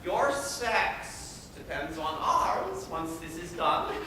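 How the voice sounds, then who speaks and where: A man's voice: fairly high pitch, expressive, takes it slowly, bright, forceful tone, slight background noise, voice pushed down, heavily acted. A man playing a pompous, smug old-style guardian. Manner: declaims rhyming verse theatrically. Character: pompous middle-aged male guardian, controlling and smug. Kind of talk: stage monologue. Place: high school auditorium. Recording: echoey hall from far off.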